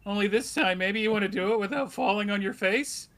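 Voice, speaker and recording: A man's voice: high-pitched, fairly quick, fairly bright tone. A man playing a jittery, exasperated TV news producer, nagging and sarcastic, in a comic book table read.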